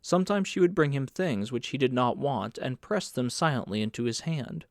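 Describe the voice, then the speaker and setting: A man's voice: mid-range voice, lively intonation, normal speed, no echo, studio quality. A man reading literary fiction as a scripted audiobook narration.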